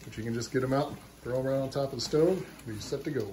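A man's voice: mid-range voice, fast talker, slight background noise, poor audio. A man talking casually while filming a home cooking video in his kitchen. He gives simple, encouraging step-by-step cooking tips. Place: home kitchen and dining table, indoors. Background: loud background music.

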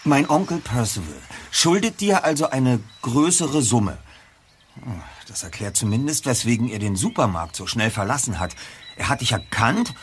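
Teen detective for radio play adult male actor: serious, probing, formal wording, very mobile pitch, light ambient noise. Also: big pitch swings, normal speed, close to an everyday voice. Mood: insistent, serious. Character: precise, insistent teenage boy detective, inquisitive and serious. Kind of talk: German audio drama acting.

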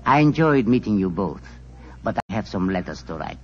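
A suave, low-voiced scholar. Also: male voice, very animated, slight background noise. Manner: formal, measured, precise. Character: suave, learned male passenger, courteous. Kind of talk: 1940s radio acting. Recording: dull lo-fi old radio broadcast.

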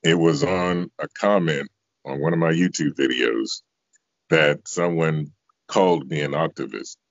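An extremely low bass voice from a man in his fifties, speaking conversationally as an interview guest. The sound is dry and somewhat dull, like a lo-fi video call. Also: unhurried, creaky, no room sound. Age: 50s. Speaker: interviewee, bass singer and voice actor. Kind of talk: reflective conversational interview answers. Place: indoor room, video call.

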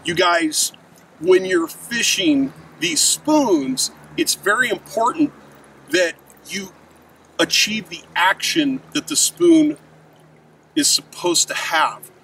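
A man's voice: high-pitched, big pitch swings, slow pace, sharp tone. A middle-aged man giving an emphatic outdoor fishing lesson while standing by a river, addressing his viewers directly. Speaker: fishing instructor. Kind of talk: outdoor how-to demonstration. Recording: distant mic with faint river noise.

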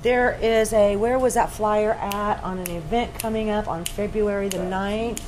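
A middle-aged woman hosting a casual group chat at a diner table, effusive and quick to laugh. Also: medium pitch, steady tone, darkish tone. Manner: bubbly, effusive, laughs often. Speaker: talk show host. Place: diner, seated at a long table with guests. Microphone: table microphones. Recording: noisy diner room.

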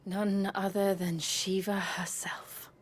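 An actress playing a gentle, solemn young warrior woman with ice powers, speaking in a measured, hushed, grave way in a fantasy game. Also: medium pitch, level tone, a little hiss or hum, lightly acted. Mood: grave, wondering. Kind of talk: dramatic fantasy video game acting.

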